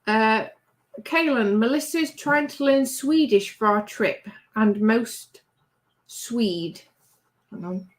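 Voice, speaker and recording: A woman's voice: on the high side, takes it slowly, poor audio. A woman hosting a casual livestream, reading out chat messages and teasing, often trailing off mid-sentence.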